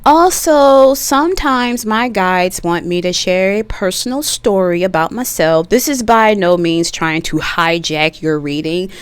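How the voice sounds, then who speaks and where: A middle-aged woman giving a tarot reading in a chatty, slangy way, a little hoarse from a cough. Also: medium pitch. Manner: slow, relaxed, chatty with slang. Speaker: tarot reader. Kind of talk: pick-a-card tarot reading.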